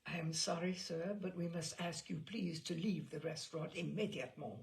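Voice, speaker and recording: A woman voicing a formal, stiffly courteous restaurant maitre d', hushed and firm, in a story reading. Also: lowish voice, moderate pace, natural voice. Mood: politely firm. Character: discreet, formal restaurant maitre d', polite but firm man.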